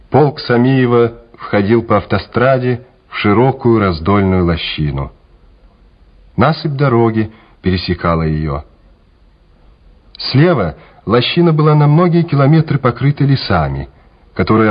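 A man's voice: mid-range voice, husky. A man narrating a wartime radio drama in a scripted, dramatic style. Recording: slightly dull radio recording with music. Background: music.

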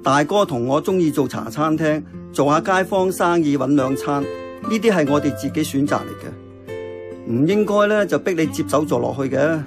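A man playing an earnest, thoughtful uncle who runs a diner in a radio drama, with a Hong Kong accent and a measured, reflective manner. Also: fairly high pitch, animated. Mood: gently firm. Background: music.

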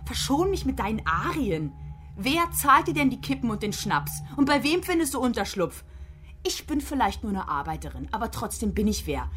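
Scrappy working-class woman, blunt, combative, fairly high voice, swooping intonation, radio play over music. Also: sing-song, normal speed, fairly bright tone. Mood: defiant, scolding. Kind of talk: radio drama acting.

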